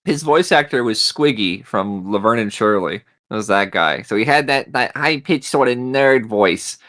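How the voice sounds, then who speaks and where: A man's voice: medium pitch, animated, normal speed, fairly bright tone. A man talks as one of a podcast panel in a mid-range voice. He makes his case casually, explaining with examples. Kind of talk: casual panel discussion, fan chat.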